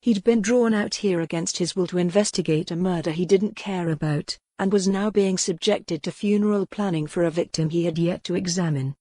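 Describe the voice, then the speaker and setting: Low, dark-voiced woman narrating a novel. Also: dry recording, clean studio sound. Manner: even, measured storytelling. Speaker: audiobook narrator.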